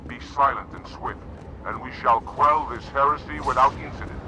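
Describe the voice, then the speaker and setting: A man playing a stern, battle-hardened alien special operations commander in a video game, measured and authoritative. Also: medium pitch, pushed and bright, slight background noise. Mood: stern, cautioning. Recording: game audio under music.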